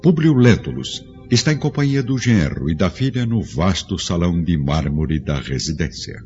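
Deep-voiced solemn male narrator. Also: big pitch swings, moderate pace, a little mellow, faint music behind. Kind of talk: scripted dramatic narration. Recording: dull lo-fi audio with faint score.

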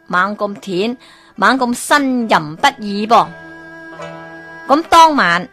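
A woman storyteller narrating a folk tale in an animated way, with exclamations and character voices. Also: mid-range voice.

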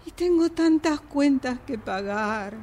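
Plaintive, high-voiced Argentine woman acting out despair. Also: animated, slow, a little mellow. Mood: desperate. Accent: Argentine Rioplatense. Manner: plaintive, lamenting. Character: desperate, self-pitying middle-aged jobless woman. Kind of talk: radio drama workshop dialogue. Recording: noisy amateur workshop recording.

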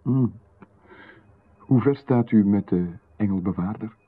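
A man with a Flemish accent, playing a calm, insistent stranger in a radio drama who seems to know far too much. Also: fairly low pitch, unhurried, husky. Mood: insinuating. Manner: calm, insistent, coolly overfamiliar. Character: calm, knowing male stranger who watches closely. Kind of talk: 1990s radio drama acting. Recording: narrow, phone-like radio broadcast.

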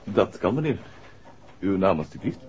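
An actor playing a formal, deferential bank clerk in a 1960s radio drama, with a Dutch accent. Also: male voice, low-pitched, sing-song, normal speed, darkish tone. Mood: polite, formal. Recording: muffled 1960s radio recording.

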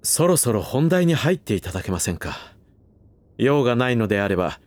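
An actor playing a loyal manservant in a Japanese voice drama, coldly polite and distrustful, with formal phrasing and a barbed edge. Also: male voice, medium pitch, big pitch swings, brisk, a little mellow, dry recording, natural voice. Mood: curt, guarded.

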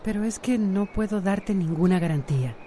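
A woman's voice: medium pitch, animated, moderate pace, warm tone, slight background noise, lightly acted. An actress playing a hesitant woman resisting a suitor in a radio soap opera. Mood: reluctant, torn.

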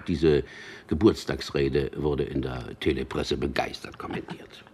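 Very deep-voiced, wry lover. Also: male voice, steady tone, a little slow, darkish tone, a little hiss or hum. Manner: dry irony, intimate and conversational. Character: Peter, wry cynical man telling mock news to lover. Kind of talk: German radio drama acting.

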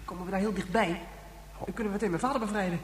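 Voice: medium pitch, very fast, lightly acted. A performer playing an impatient, earnest young boy in a radio drama, eager and blurting, recorded distant and echoey. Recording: distant, echoey, noisy with hum.

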